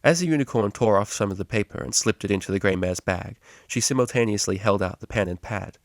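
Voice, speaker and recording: A man's voice: lowish voice, quick pace, no echo, studio quality. A man reading a scripted story aloud as an amateur narrator. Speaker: amateur fan-fiction reader.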